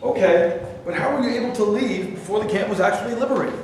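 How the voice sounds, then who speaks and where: A man's voice: high-pitched, quick pace, darkish tone, close to an everyday voice. Guy reading stern investigator questioning someone in a play, far from mic, big echo. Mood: stern, probing. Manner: official, questioning. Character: probing, stern male war-crimes investigator. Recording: very echoey room, distant mic, light noise.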